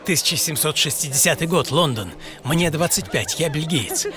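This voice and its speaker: An actor playing a vain, excitable young Belgian inventor in an audio play, theatrical and boastful, dropping French phrases into his monologue. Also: fairly high pitch, moderate pace, crowd noise, lightly acted. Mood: confiding, intimate. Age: 20s. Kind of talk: dramatized first-person monologue in an audio play. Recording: studio voice over music.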